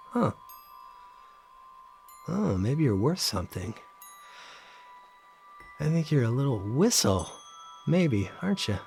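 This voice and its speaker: A solitary, haunted man in an audio drama. His voice is gravelly and unhurried, hesitating and murmuring to himself with curiosity. Soft music sits behind him. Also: on the high side, heavily acted. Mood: intrigued, musing. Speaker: lead actor and narrator of an audio drama. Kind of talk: first-person dramatic narration with in-scene dialogue.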